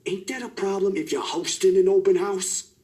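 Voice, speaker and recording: A man voicing an easygoing young man who talks in a casual, relaxed drawl. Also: high-pitched, moderate pace, pitched above the performer's own voice, natural voice. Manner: casual drawl, bouncy put-on voice.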